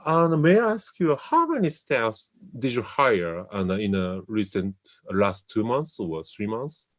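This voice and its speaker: A man from Japan, a native Japanese speaker with a Japanese accent, hosting a casual talk in English. He often pauses to search for the right English word. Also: lowish voice, big pitch swings, fairly bright tone. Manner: hesitant, searching for English words. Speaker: host. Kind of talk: casual chat in a second language.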